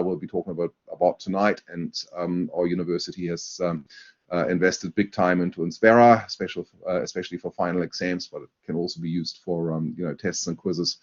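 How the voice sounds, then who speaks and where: A man with a German accent presenting a university webinar, talking in a halting way with many fillers and restarts. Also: low voice, darkish tone. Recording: dull lo-fi webinar audio.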